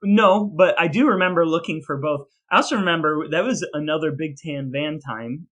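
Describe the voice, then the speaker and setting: A man's voice: on the high side, moderate pace, fairly bright tone. A man with an American accent chatting casually and comedically as a podcast co-host, telling things in a wry, jokey way. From Elmore, Ohio, United States.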